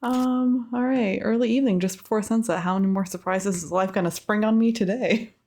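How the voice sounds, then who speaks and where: A woman's voice: medium pitch, fairly even intonation, fairly quick, a little mellow. A woman giving chatty, casual gameplay commentary, muttering reactions to herself as she plays. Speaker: let's play host.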